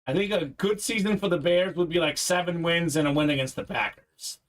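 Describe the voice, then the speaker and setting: Chatty high-voiced male break host. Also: a little fast. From Bulgaria. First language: Bulgarian. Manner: chatty, reads out viewer comments. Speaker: live card break host. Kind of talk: casual live-stream chat.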